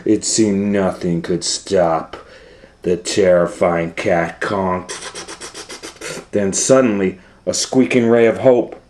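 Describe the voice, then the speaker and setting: Male storytime reader: unhurried, mid-pitched, theatrical and suspenseful, narrating a children's book dramatically. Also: dark tone.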